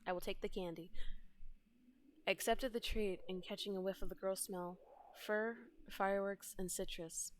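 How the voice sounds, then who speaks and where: A woman's voice: mid-range voice, fairly even intonation, normal speed, a little hiss or hum. A woman streamer reading game dialogue aloud and translating it, in a dramatic narrating manner. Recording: streamed with game music behind.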